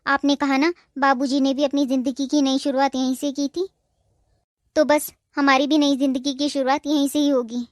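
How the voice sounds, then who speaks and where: A gentle young wife from a cartoon moral story, voiced by a woman. She speaks in a remarkably high voice, patient and reassuring. The recording is dry and close. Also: little pitch movement, normal speed, no room sound, natural voice. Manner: soft-hearted, reassuring, respectful.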